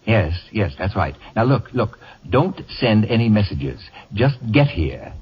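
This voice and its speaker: An actor playing an imperious, acid-tongued famous lecturer who can turn sardonic or syrupy, in radio comedy style. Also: male voice, fairly low pitch, very animated, a little slow, breathy edge, full character voice. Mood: syrupy, coaxing. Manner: theatrical, sardonic, shifting to syrupy coaxing. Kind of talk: 1950 radio comedy acting. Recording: muffled vintage 1950 radio broadcast.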